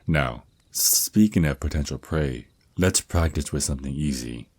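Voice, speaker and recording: A man's voice: rumbling low, measured pace, darkish tone, no room sound. A man voicing an affectionate, protective vampire naga boyfriend in an intimate ASMR roleplay, mentoring his partner with slightly formal wording and small stammers. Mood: coaxing, caring. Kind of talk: ASMR roleplay, intimate one-sided scripted dialogue.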